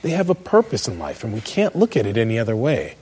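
An American man in middle age reading a scripted spoken essay as a commentator, with emphatic, measured phrasing. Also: mid-range voice, huge pitch swings, a little mellow, breathy edge. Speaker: commentator, law professor.

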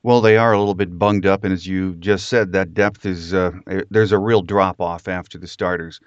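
A man's voice: deep voice, steady tone, normal speed, no room sound. An American man hosting a sports podcast, chatting through a football preview with a guest. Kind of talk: sports interview chat. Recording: slightly dull, lo-fi sound.

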